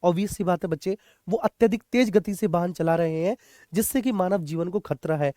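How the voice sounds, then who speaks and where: A coaching teacher with a mid-pitched voice in a dry, close recording. The delivery is a classroom lecture that repeats key points, puts questions to students and addresses them like children. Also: fairly quick, mellow, no room sound. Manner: repeats key points, often checks understanding. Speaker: teacher, exam coaching instructor.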